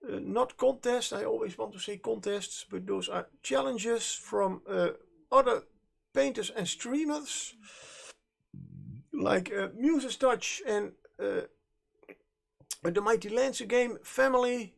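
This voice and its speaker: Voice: mid-range voice, slow pace, creaky. A streamer with a Dutch accent, a native Dutch speaker, chatting casually while painting, in a hesitant, rambling way with frequent self-corrections. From Netherlands. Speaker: livestream host and miniature painter.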